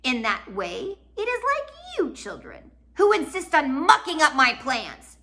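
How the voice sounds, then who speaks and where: A woman's voice: high-pitched, bright, forceful tone, pitched above the performer's own voice, exaggerated voice. Woman acting out a sly, taunting villainous man. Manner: mock-kindly, taunting, drawn-out delivery.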